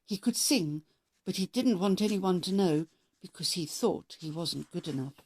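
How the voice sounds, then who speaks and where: A woman narrating a children's story as a read-aloud host, expressive and measured in her delivery. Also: on the low side.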